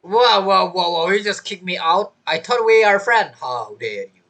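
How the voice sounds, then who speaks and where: A woman streaming a video game with casual, bantering reaction commentary in a husky, expressive voice. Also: mid-range voice, normal speed. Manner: expressive, bantering, repeats words. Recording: game music behind voice. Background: video game music.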